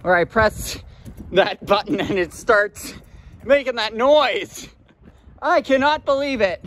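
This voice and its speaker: A man's voice: high-pitched, slow, fairly bright tone. A man giving casual walking commentary as he explores an empty mall's grounds and loading docks, muttering amazed asides, recorded on a handheld phone. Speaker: urban explorer vlogger. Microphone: handheld phone camera.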